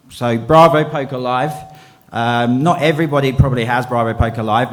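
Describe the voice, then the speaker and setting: A man presenting product features at a conference, brisk with frequent ums. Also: medium pitch. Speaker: company representative presenting at a conference. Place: conference hall.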